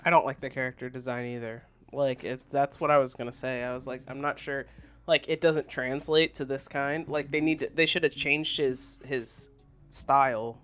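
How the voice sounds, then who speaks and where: A man's voice: medium pitch, steady tone, normal speed, fairly bright tone. Man chatting casually as podcast co-host. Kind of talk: casual chat, swears freely.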